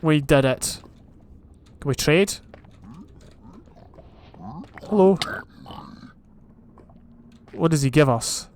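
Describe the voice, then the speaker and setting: A man's voice: fairly high pitch, very slow, pauses often, darkish tone, clear, resonant tone. A young Scottish man casually commentating his own game, thinking aloud and reading out achievements as he plays. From Scotland. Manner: chatty, thinking aloud, reading advancements while playing. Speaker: gaming YouTuber. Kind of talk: casual Minecraft let's play commentary.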